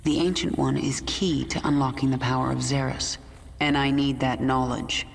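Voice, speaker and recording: An actress playing a vengeful alien swarm queen in a video game, cool, deliberate and commanding. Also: extremely low pitch, steady tone, vocal fry. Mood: cool, resolute. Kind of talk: video game cinematic acting.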